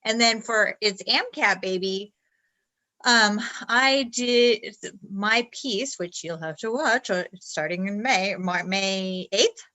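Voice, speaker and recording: A woman with a bright, forceful tone co-hosts a live stream. She talks casually but haltingly, stopping and restarting her sentences as she goes. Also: mid-range voice. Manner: halting, frequent restarts and fillers. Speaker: co-host of a dance talk stream.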